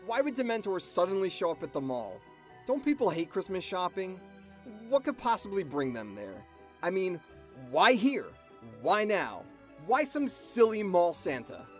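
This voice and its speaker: A woman's voice: lowish voice, very animated, moderate pace, faint music behind. A woman playing a sarcastic, incredulous young skeptic in a radio drama.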